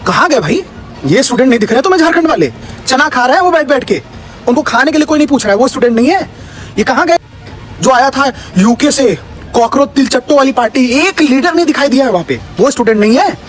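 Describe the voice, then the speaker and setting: A man's voice: very high-pitched, animated, fast talker. A young man delivering a sarcastic political rant full of mocking rhetorical questions. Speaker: political commentator.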